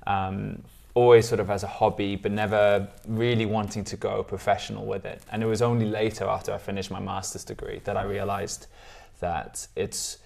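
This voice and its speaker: Thirties male editor, studio guest; low monotone delivery, thoughtful with hesitations. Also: normal speed, creaky, hardly any pauses. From Switzerland. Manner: thoughtful, hedging with ums and restarts. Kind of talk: studio interview. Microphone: lapel.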